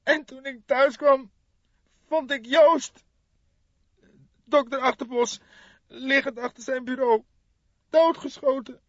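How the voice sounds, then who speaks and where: A man's voice: very high voice, fairly even intonation, takes it slowly, long pauses, a bit bright, no room sound, highs cut off, pitched above the performer's own voice, exaggerated voice. A man voicing a distressed young woman, a doctor's assistant, anxious and hesitant, in an audiobook. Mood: shaken, grieving.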